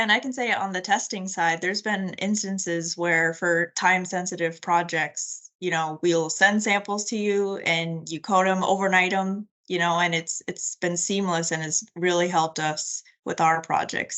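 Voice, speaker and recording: Brisk woman co-host with a low voice. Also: steady tone, a bit bright. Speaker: podcast co-host. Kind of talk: casual chat.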